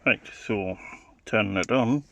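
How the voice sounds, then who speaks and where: A man with a fairly low voice talks through a gadget as an informal demonstrator. He is matter-of-fact and a little hesitant, feeling his way without a script. There is faint noise in the homemade recording. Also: unhurried, creaky. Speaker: hobbyist product demonstrator. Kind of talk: informal unscripted gadget demo.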